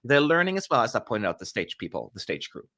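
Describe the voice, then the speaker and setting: A young man talks through a live stream in a loose, joking way, tossing off quick asides to his viewers. His voice is bright and his pitch swings widely as he chats. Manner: chatty, animated, quick asides and playful jokes. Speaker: livestream host. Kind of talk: casual live commentary.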